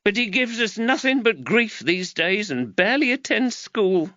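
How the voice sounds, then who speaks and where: A man voicing an angry, shrill, middle-aged London mother who scolds and shrieks. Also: sing-song, moderate pace, pushed and bright, no echo, dull audio, exaggerated voice. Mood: anguished.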